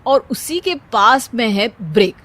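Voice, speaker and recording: An actor playing a wisecracking, exasperated young husband in a family comedy story, with a teasing, sarcastic manner. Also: male voice, very high voice, big pitch swings, unhurried, bright, forceful tone, full character voice. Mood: instructing, dry.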